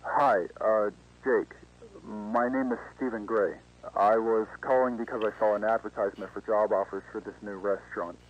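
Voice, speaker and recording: A laid-back young man looking for work acted by a man in an analog horror phone call. He speaks politely and hesitantly in a lowish voice. The sound is dull and lo-fi. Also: fairly even intonation, moderate pace, a little hiss or hum, close to an everyday voice. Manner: hesitant, casual. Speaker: voice actor in an analog horror video.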